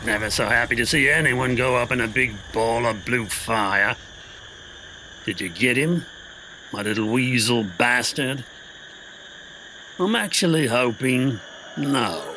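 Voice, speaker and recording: Slow, sneering cruel old father. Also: medium pitch, sharp tone, a little hiss or hum, rough recording. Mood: gloating. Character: cruel, gloating older father, manipulative and sneering. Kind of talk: cinematic audio drama acting.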